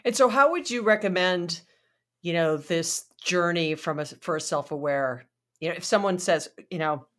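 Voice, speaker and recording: Chatty host, loose rambling questions, laughs easily, mid-range pitch. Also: normal speed, a bit bright. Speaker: interview host. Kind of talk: conversational interview questions.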